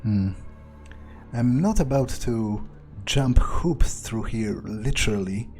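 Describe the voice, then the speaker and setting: A man thinking aloud in character as he plays a video game, muttering deliberately and swearing now and then. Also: mid-range voice, animated, darkish tone, soft background music. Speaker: gamer doing an in-character playthrough.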